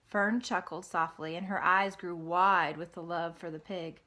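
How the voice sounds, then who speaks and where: A woman narrating a children's storybook in an expressive read-aloud style. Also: fairly low pitch, measured pace. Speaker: storybook reader.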